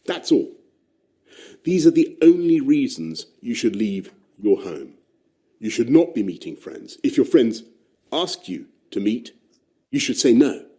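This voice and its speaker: A man voicing a formal, measured government announcement in a radio play. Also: medium pitch, big pitch swings, mellow. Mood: stern. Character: stern male government announcer.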